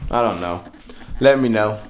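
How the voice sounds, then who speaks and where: A man's voice: fairly low pitch, normal speed. A young man, a native French speaker whose English has an American-influenced French accent, chatting casually in an informal, musing and self-deprecating way. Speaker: speaker giving an informal opinion talk. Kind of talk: casual chat.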